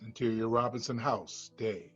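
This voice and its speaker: Male narrator, mid pitch, reads stage directions, dull recording with faint music. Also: moderate pace, a bit bright, slightly rough. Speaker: screenplay narrator. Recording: dull lo-fi sound, music behind.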